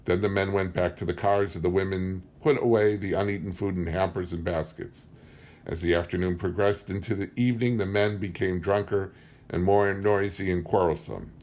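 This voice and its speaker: A man's voice: sits low, steady tone, moderate pace, darkish tone. A man reading a book aloud as scripted story narration. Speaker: audiobook reader.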